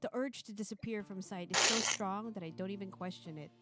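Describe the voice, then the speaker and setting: A woman's voice: mid-range voice, a little fast, over loud music. A woman live-streaming, reading a visual novel aloud and chatting casually between passages.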